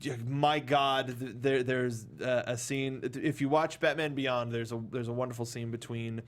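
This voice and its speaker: A man hosting a casual film discussion, talking in a halting way and often restarting or repeating his phrases. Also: mid-range voice, fairly even intonation. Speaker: talk show host.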